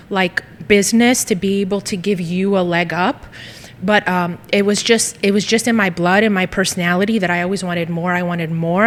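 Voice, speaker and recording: Robust-voiced woman with lingering reverb. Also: mid-range voice. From United States. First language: Greek. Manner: robust, lively, storytelling. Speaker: guest, social media business owner. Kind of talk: casual interview conversation.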